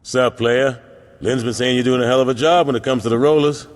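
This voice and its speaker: An actor playing a laid-back, teasing gang member in a video game, speaking in street slang. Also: male voice, medium pitch, steady tone, brisk, long reverb tail, a little hiss or hum, lightly acted. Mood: casual. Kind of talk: video game cutscene acting.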